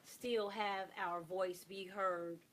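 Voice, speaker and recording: A woman's voice: mid-range voice, steady tone, takes it slowly, fairly bright tone, a little hiss or hum. A woman talking with impassioned emphasis as a guest in a panel interview, often repeating phrases to drive a point home. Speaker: stage play director, interview guest.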